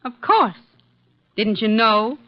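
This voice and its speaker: High-voiced petulant, flirtatious wife. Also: female voice, animated, takes it slowly, no echo. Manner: pressing, petulant. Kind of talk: 1940s-50s radio drama acting. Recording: dull old radio recording.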